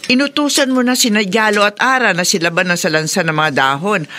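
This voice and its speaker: A woman's voice: mid-range voice, a little fast, bright, forceful tone, a little hiss or hum, lightly acted. A woman acting a worried, questioning mother in a horror radio drama, with a Filipino accent. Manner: fretful, questioning.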